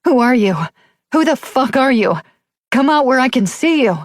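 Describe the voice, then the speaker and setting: Woman reader as enraged young cop, high shouted voice, raw. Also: lively intonation, moderate pace, no room sound, studio quality. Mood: terrified. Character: young woman cop yelling in terror and rage.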